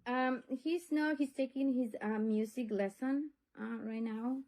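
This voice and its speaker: A woman's voice: on the high side, a little slow, a little mellow. A middle-aged woman from Honduras, a native Spanish speaker with a Honduran accent, earnestly and insistently making her case in a serious conversation. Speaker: parent of a pupil.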